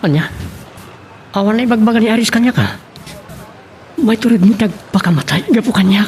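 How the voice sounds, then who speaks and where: Devoted young sweetheart, high male voice, expressive pitch, earnest, Ilocano radio drama, faint music. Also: a little fast. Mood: shocked concern.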